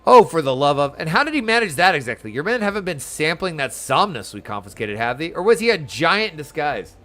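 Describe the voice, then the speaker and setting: A man's voice: fairly high pitch, very animated, a little fast, a bit bright. A man reading aloud the lines of a formal, sardonic fantasy game leader in stately, archaic phrasing. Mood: exasperated.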